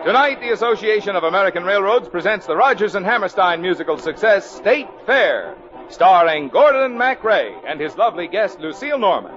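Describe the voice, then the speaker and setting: A man's voice: sits high, expressive, a little fast, a loud crowd. A man announcing a scripted 1950s radio show in a formal, grand, emphatic manner. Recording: muffled old radio broadcast, loud music.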